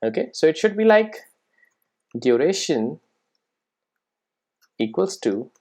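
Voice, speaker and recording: A tutorial instructor with a medium-pitched voice explains code step by step. The delivery is informal and instructional, with frequent little check-in questions to the learner. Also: big pitch swings, slow, darkish tone. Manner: informal, frequent check-in tags like "right?" and "make sense?". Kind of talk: screen-recorded coding tutorial, explaining step by step.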